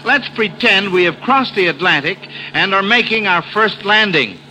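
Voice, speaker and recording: A man's voice: high voice, expressive, normal speed, pushed and bright, poor audio. An American man hosting an old-time radio quiz show for children, with folksy, playful, exclamatory patter. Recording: old radio recording with background noise.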